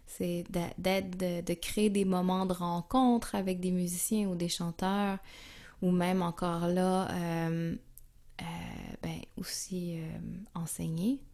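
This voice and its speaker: A woman with a Quebec French accent speaking as an interview guest, calm and reflective, searching for her words. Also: on the low side, slow pace. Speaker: interview guest, yoga and music teacher. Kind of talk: reflective, casual interview talk.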